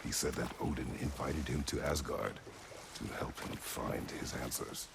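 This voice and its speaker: Stoic hulking warrior, rock-bottom pitch, gravelly, terse, with game ambience behind. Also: male voice, steady tone, normal speed. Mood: stern, guarded. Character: stern, terse, hulking middle-aged warrior father. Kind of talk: video game voice acting. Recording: clear background noise of game ambience.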